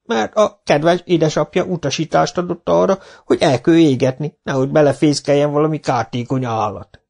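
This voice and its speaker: A man voicing a sly old village man who answers with feigned innocence and mocking calm. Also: a little high, vocal fry, pitched above the performer's own voice. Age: older.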